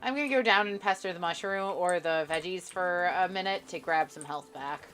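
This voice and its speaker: A woman's voice: fairly low pitch, moderate pace, fairly bright tone. A woman streaming a co-op game live, bantering with her co-player in a dry, sarcastic way. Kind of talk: casual gameplay chat with a co-player.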